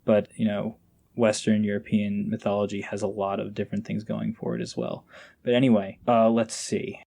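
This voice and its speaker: A man's voice: lowish voice, little pitch movement, moderate pace, gravelly, warm tone. A man in a casual remote chat as a podcast guest and voice actor, agreeable and self-deprecating. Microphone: internet call.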